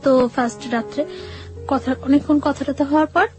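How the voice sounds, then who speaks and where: A young woman with a Bangladeshi accent tells her own life story in an interview, haltingly, often correcting and repeating herself. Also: high voice, fairly even intonation. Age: young adult. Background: background music.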